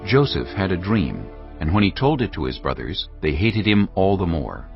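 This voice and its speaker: A man narrating scripture in a measured, expressive dramatic style. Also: low voice. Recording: dull audio over background music.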